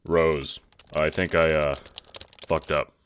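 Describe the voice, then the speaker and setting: A performer playing a jaded, sarcastic and irritable teenage boy in an audio drama, deadpan and foul-mouthed. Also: extremely low pitch, slow pace, noisy background. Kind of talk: audio drama character acting.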